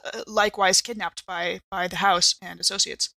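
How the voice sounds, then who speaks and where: A woman's voice: medium pitch, steady tone, moderate pace, vocal fry, bright, forceful tone, no room sound. A woman improvising in character in a tabletop roleplaying podcast, wordy and hesitant as she pieces things together. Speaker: player in an actual-play podcast.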